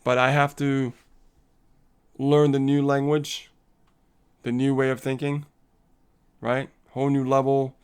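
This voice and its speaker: A man's voice: medium pitch, level tone, measured pace, long pauses, smooth. A man co-hosting a casual live coaching stream, upbeat and motivational, laughing often and reading viewer comments aloud.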